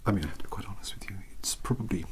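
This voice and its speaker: A man with a British accent playing a bookish, polite young occult mentor in a soft-spoken, breathy ASMR roleplay, with formal, hesitant phrasing. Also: lowish voice, very fast, close to an everyday voice. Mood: earnest, scholarly. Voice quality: breathy, whispery. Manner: scholarly, formal, hesitant, wordy.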